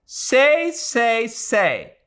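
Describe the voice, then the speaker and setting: A man's voice: extremely high pitch, wildly swooping pitch, drawn-out words, fairly bright tone, pitched above the performer's own voice, heavily acted. A man voicing a bitter, weary old woman. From Britain. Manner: sour, clipped, resentful.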